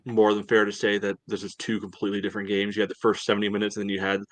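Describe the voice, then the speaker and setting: A man with a low voice chats casually about sport as a podcast co-host. His delivery is rambling and full of verbal padding, with little rise and fall. The sound is dry and close. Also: completely flat delivery, very fast, no room sound. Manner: rambling, peppered with "you know".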